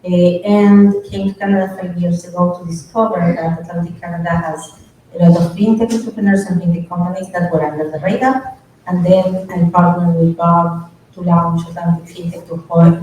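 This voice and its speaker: Mellow-voiced woman from Argentina on a panel. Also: lowish voice, slight background noise. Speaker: fintech organization founder. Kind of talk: panel discussion. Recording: echoey room, distant mic.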